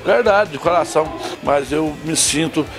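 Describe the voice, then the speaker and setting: A man's voice: a little high, normal speed, music in the background. A man, a mayor, speaking heartfelt thanks in an interview.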